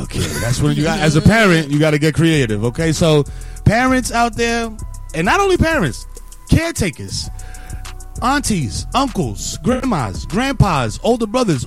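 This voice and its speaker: High, animated New York radio man. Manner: animated hype-man delivery with slang and laughs. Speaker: radio show host. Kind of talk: morning radio show segment, casual talk.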